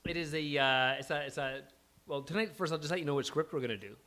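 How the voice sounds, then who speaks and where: A man hosting a live script-reading event in a casual, halting, scattered way, poking fun at himself and swearing freely. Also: on the high side, sharp tone. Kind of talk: casual unscripted stage introduction. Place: live event venue before a small audience.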